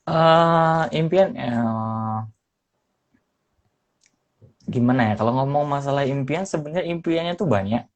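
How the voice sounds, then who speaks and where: A young man from Semarang talks easily on a podcast, his Indonesian lightly mixed with regional accents. He pauses often, filling gaps and restarting phrases. His pitch sits in the middle of the male range. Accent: neutral Indonesian with slight mixed regional traces. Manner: hesitant, many fillers and restarts. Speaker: podcast guest, former radio announcer. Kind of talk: casual interview chat.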